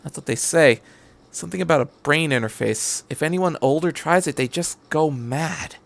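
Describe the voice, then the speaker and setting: A man reads as a worried-minded, rule-abiding mother in a story. The delivery is hushed and hesitant, careful with every claim. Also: fairly high pitch, normal speed, vocal fry, voice pushed up. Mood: earnest, worried.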